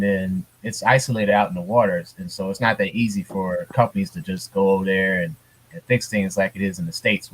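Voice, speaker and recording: Low-voiced man hosting a casual podcast. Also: breathy edge, low-quality recording. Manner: relaxed, rambling, chatty with frequent fillers. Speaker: podcast co-host. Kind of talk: casual gaming podcast chat. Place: hurricane-prone US city.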